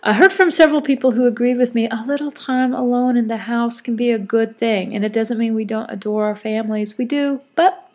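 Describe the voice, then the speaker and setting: A woman's voice: on the high side, brisk. Middle-aged woman with a cold, stuffy nose, rambling friendly podcast chat, keeps correcting herself. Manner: chatty and rambling, with self-corrections. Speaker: podcast host. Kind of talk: casual solo podcast chat.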